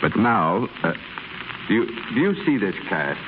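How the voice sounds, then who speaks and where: A man playing an older male stonecutter who talks to a younger man in a gentle, fatherly, measured way. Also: low-pitched, very animated. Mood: earnest. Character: older male stonecutter, kindly earnest mentor. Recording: tinny noisy 1930s radio broadcast.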